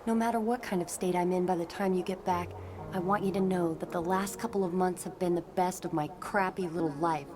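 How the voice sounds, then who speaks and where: Actress playing young female telepath, lowish pitch, tender, wry, fatalistic, comic-book drama, engine noise in background. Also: fairly even intonation, a little fast, natural voice. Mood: tender, resigned. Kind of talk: dramatic motion comic voice acting.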